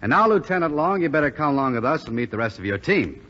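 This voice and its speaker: An actor playing a steady, good-natured young American bomber pilot in a 1940s radio drama. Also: male voice, a little high, quick pace, over loud music. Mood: commanding. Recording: muffled, hissy 1940s radio recording, hall reverb.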